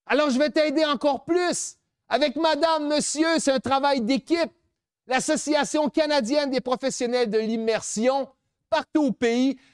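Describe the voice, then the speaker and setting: A middle-aged man with a New Brunswick French accent giving an animated motivational speech, asking rhetorical questions and switching between French and English. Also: very high voice, smooth, no echo, studio quality. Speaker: keynote speaker on French-language education.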